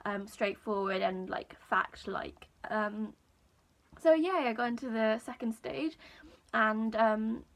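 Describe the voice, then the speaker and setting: A young woman vlogger with a high register and a croaky voice, chatting casually to camera in a small room, with lots of ums. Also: slow. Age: young adult. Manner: chatty, many ums, relaxed storytelling.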